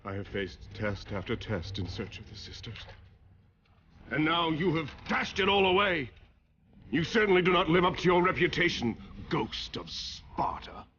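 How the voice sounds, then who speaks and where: Perseus, a grandiose, high-pitched hero. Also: male voice, big pitch swings, normal speed, fairly bright tone, dull audio, slight background noise. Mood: frustrated, bitter. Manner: grandiose, theatrical. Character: proud, desperate Greek hero, arrogant and scornful. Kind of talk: dramatic video game acting.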